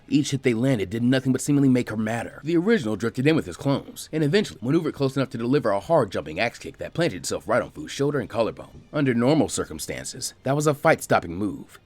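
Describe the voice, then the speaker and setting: Male narrator, scripted storytelling, brisk pace, animated intonation, medium pitch. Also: no room sound. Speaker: fan fiction narrator.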